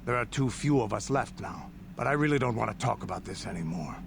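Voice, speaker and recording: A gruff old-soldier game character, an alien warrior proud of his battles. His voice is gravelly and creaky, and he tells his tales in a deliberate, boastful way, with clear noise in the recording. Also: fairly low pitch. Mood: weary. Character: proud gruff veteran alien warrior man recounting war stories. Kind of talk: dramatic scripted video game dialogue. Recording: game audio under steady noise.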